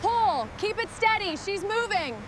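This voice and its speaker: A woman's voice: very high-pitched, animated, measured pace. A woman playing a determined mechanic who leads a machinery crew, speaking in terse, focused muttering and commands. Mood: urgent. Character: determined hands-on female mechanic leading a heavy machinery restoration crew. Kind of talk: scripted workplace dialogue with muttering and commands. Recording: loud machinery and vehicle noise behind.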